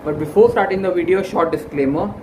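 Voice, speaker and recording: Young indian guy, hindi first language, fairly high voice, lots of uh and restarts, echoey room with noise going on behind. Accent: Indian English. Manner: earnest, halting, frequent fillers and restarts. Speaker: exam-prep YouTuber. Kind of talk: casual study-advice talk to camera.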